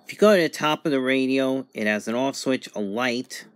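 A man giving a casual unboxing and review narration in a halting, methodical way, in short phrases. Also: mid-range voice, dry recording. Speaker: product reviewer.

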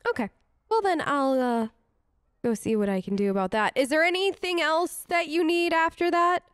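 A woman's voice: high-pitched, normal speed, no echo, clean studio sound. A woman role-playing through a video game, speaking chattily in informal, in-character replies. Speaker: let's player.

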